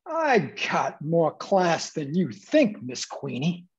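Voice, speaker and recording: A woman playing an uncouth, cocky male bootlegger's henchman with husky, slangy, ungrammatical speech in a period comedy. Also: low-pitched, very animated, takes it slowly, dull audio, full character voice. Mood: cocky, indignant.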